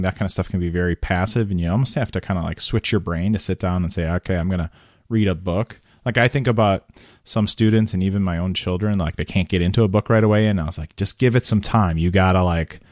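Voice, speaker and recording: A man's voice: lowish voice, dry recording, clean studio sound. A man co-hosting a podcast, chatting casually and laughing as he rambles through his thoughts. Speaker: podcast co-host. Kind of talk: casual chat. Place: home basement.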